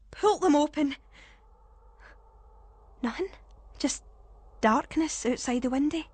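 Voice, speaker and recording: A woman with a Scottish accent narrating a first-person ghost story in a suspenseful, expressive way. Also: high voice, dry recording.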